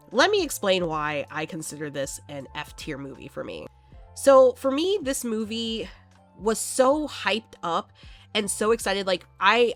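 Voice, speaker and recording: A young woman hosting a casual horror movie vlog, chatty and quick to laugh, sounding a bit congested from allergies. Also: mid-range voice, a bit bright. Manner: chatty, laughs often, many fillers.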